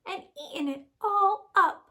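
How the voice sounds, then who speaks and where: A woman's voice: unhurried, sharp tone, full character voice. A woman voicing a small, whiny, melodramatic baby bear in a children's storybook. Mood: upset. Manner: high, melodramatic complaining.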